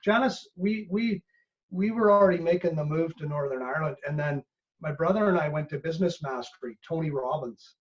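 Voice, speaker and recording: A middle-aged man with a Canadian accent tells stories in a relaxed podcast conversation. His voice sits a little high for a man, and he acts out quotes as he goes. The audio is muffled and dull. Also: fairly quick. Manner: animated storyteller, acts out quotes. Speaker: business coach guest. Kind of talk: casual podcast chat.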